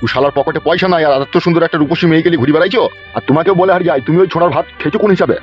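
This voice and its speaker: A man's voice: on the high side, racing, lightly acted. A man playing a pushy, lecherous landlord in a comic cartoon, taunting and crude as he fires off questions. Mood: mocking. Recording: dull lo-fi track with music.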